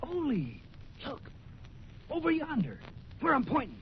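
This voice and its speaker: A muffled, hissy old radio recording carries a grouchy prospector. His high voice leaps around with blustering indignation in a folksy western drawl. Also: wildly swooping pitch, measured pace, exaggerated voice. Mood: baffled, accusing. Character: grouchy, excitable Old West prospector, camp cook.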